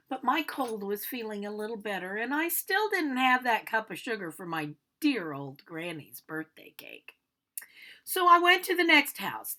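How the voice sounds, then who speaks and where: Woman as an animated, self-justifying big bad wolf. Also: mid-range voice, heavily acted. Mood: self-justifying, chatty. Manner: chatty, theatrical, protesting innocence. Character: aggrieved, self-justifying male big bad wolf telling his story. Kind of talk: animated storybook read-aloud.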